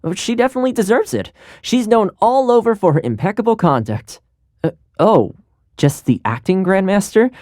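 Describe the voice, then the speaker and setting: An actor playing a friendly, loyal young retainer in a video game, speaking in a chatty, earnest, conversational way. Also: male voice, sits high, big pitch swings, normal speed, darkish tone, no echo, studio quality. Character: friendly, easygoing young retainer man, loyal and good-natured. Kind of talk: video game character voice lines.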